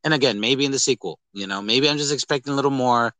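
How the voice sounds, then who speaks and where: Bright-voiced man chatting casually about movies. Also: mid-range voice, fast talker, dry recording. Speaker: podcast co-host.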